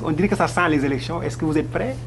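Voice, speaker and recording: Male interviewer, gravelly, a bit high, halting delivery, humming background. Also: noisy background. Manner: hesitant, searching for words. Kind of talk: interview questions.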